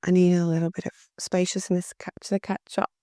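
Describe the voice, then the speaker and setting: A woman hosting her own podcast, talking slowly and reflectively, her voice deep and worn out from weeks of talking, often repeating words for emphasis. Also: flat delivery, mellow, no echo, clean studio sound. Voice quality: deep, gravelly. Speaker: podcast host. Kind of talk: solo podcast monologue.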